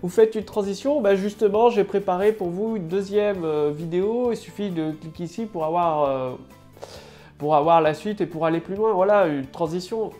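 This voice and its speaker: A woman's voice: lowish voice, normal speed, mellow, faint music behind. Chatty woman with a head cold. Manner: chatty, loose, heavy with fillers and restarts. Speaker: online marketing coach. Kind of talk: casual talking-to-camera tutorial.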